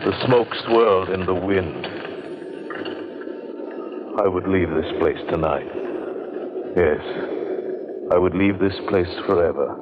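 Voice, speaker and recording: An actor playing a grave, remorseful man who slowly and haltingly narrates a horror tale in an old radio drama. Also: deep voice. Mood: weary, somber. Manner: slow, halting, solemn. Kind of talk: 1940s radio drama first-person narration. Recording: dull old radio recording, crowd-like background.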